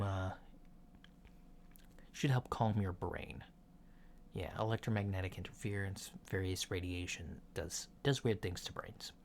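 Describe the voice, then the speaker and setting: A man playing a casual, reassuring alien doctor in a soft ASMR roleplay, speaking in a mild, hesitant, matter-of-fact way. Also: fairly low pitch. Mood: calm, reassuring. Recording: lo-fi with clear background noise.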